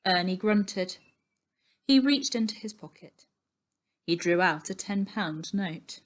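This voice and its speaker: A woman reads a children's story aloud. Her pitch sits in the middle for a woman. She takes it very slowly and narrates with plenty of expression. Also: lively intonation. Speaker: story reader.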